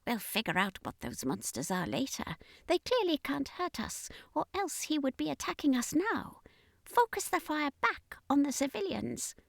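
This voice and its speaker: A woman's voice: sits high, big pitch swings, normal speed, gravelly, no room sound, clean studio sound, voice pushed up, full character voice. A woman voicing an arrogant, merciless octopus alien commander in an audiobook, with a contemptuous, sneering manner. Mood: cold command.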